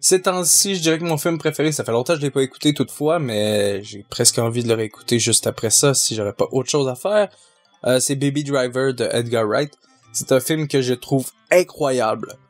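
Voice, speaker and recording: A man's voice: mid-range voice, brisk, faint music behind. A man with a Quebec French accent, casually answering viewer questions and thinking aloud as he talks. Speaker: fandub group member.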